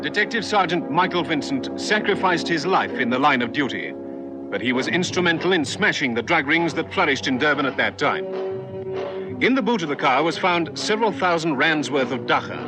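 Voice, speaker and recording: A man's voice: a little high, expressive, fairly quick. A man narrating a police radio drama in a grave, formal, scripted style. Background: music.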